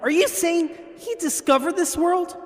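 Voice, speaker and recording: A man's voice: very high voice, animated, measured pace, long reverb tail. A man playing a confused, worried young hero in an audio drama, with an earnest, questioning manner. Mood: hesitant, puzzled. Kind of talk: hobby audio drama acting.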